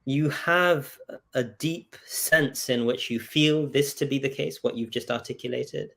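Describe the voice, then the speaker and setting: A man speaking as a scholar in an earnest intellectual debate, insistent, pausing mid-thought and often checking for agreement. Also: mid-range voice, vocal fry, pushed and bright. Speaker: scholar and author in discussion. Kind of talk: earnest intellectual debate over video call. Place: video call.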